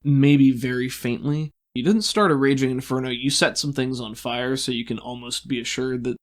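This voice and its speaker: A man's voice: medium pitch, normal speed. A man game-mastering a tabletop roleplaying game, improvising descriptive narration and searching for his words as he goes.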